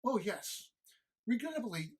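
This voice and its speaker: Smug gentleman devil, played by a woman: husky, formal, deliberate, archly menacing, with animated pitch. Audio drama. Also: heavily acted. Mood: dry disdain. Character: Old Scratch, suave devilish male fiend, smugly menacing. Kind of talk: audio drama script read-through.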